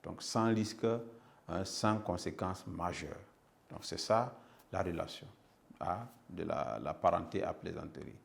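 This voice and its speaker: Low-voiced man teaching, slightly gravelly. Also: measured pace. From Burkina Faso. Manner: didactic, repeats phrases, frequent check-in tags. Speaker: middle school history teacher. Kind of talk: lesson with slow dictation.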